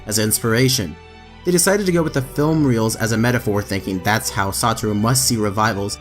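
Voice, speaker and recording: A man reading anime trivia as a scripted voice-over, with emphatic lifts in his delivery. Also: medium pitch. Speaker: anime trivia video narrator. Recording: faint background music under voice-over.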